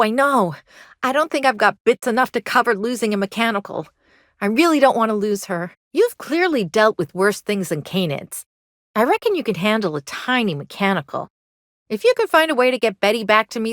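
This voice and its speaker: Game role: anxious colony mechanic woman, high voice, folksy Southern US drawl, apologetic, dry close recording. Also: fairly bright tone, no echo. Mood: distraught. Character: anxious, earnest young colony mechanic woman fond of her robot. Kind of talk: video game dialogue.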